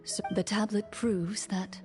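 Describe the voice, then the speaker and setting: A composed female fighter from a fantasy game voiced by a woman speaks at a middling pitch. She is firm and measured, with quiet authority and a protective streak. Music is clearly audible behind her. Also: fairly even intonation, close to an everyday voice. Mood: solemn. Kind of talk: dramatic video game voice acting.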